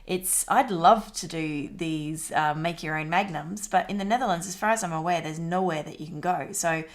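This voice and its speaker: Brief: woman, low-ish voice, relaxed presenter walking through web pages, filler-heavy delivery. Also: moderate pace. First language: English. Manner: conversational, frequent "uh" fillers. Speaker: student presenting a marketing assignment. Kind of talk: screen-recorded presentation walkthrough.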